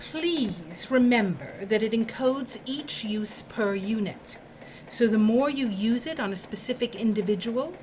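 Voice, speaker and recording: A woman's voice: mid-range voice, background noise. A woman playing a haughty, disdainful official of a dystopian regime in an audio drama, speaking in an imperious, clipped manner.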